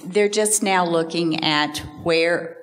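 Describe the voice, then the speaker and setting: A woman answering staff questions at an open forum, measured and hesitant with many fillers, in a meeting room. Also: lowish voice, slight background noise.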